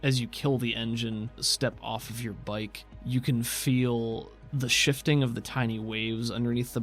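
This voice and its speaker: A man narrating a tabletop roleplaying game as game master, mixing descriptive scene-setting with casual asides. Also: medium pitch. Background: background music.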